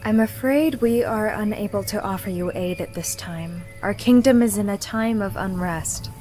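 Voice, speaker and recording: A woman's voice: mid-range voice, mellow, faint music behind, close to an everyday voice. A woman playing a young necromancer in a visual novel, speaking formally and with composure as a royal deputy. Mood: diplomatic. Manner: formal, measured. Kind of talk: visual novel character acting.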